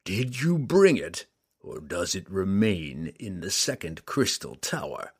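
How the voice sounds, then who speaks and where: Guy doing a deep slow growly demon lord, husky and mean, audiobook voice. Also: very animated, exaggerated voice. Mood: menacing. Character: cruel hulking male demon lord. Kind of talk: audiobook character voice.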